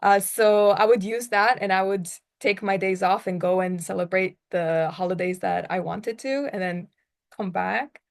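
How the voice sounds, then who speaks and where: Young woman from the US, talkative student moderator, mid-pitched, quick asides. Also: vocal fry. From United States. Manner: chatty, quick asides, laughs. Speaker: discussion moderator. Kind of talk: casual group discussion.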